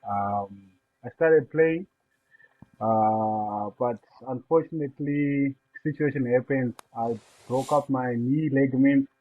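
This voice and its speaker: A man from Zimbabwe, a guest on a podcast, telling his life story in an unhurried, soft-spoken way. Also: mid-range voice. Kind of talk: casual interview over a call. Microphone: remote call line. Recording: tinny phone-like call line.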